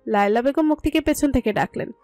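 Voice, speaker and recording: A woman's voice: high-pitched, fast, mellow, dry recording. A woman narrating a scripted romantic story. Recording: music under the voice. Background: music.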